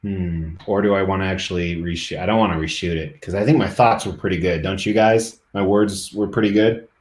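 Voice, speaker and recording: A man's voice: low-pitched, steady tone, a little fast, reverberant. A middle-aged man live streaming, chatting casually and rambling to his viewers.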